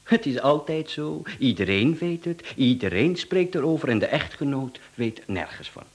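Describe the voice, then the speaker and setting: A man's voice: medium pitch, sing-song, moderate pace. An actor playing a polite, teasing, insinuating hired killer who talks like a businessman in a radio play. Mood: teasing, amused.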